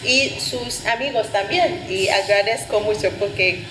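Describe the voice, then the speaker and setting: A woman from Vietnam talks slowly and hesitantly, her native Vietnamese shaping her Spanish as she pauses on "ah" to find words. She answers interview questions in a relaxed, conversational way. The room is echoey with steady background noise. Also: medium pitch. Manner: hesitant, searching for words with frequent "ah" fillers. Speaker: interview guest. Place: Barcelona.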